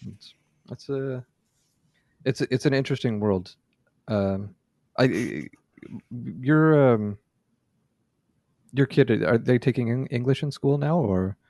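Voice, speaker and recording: A man's voice: mid-range voice, slow, pauses often, mellow, no room sound, studio quality. A man chatting casually as a podcast co-host, hesitant, with many fillers and restarts. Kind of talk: casual chat.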